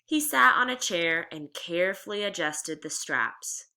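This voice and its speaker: A woman reading a children's memoir aloud like a teacher, with expressive, deliberate storytelling delivery. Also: on the low side.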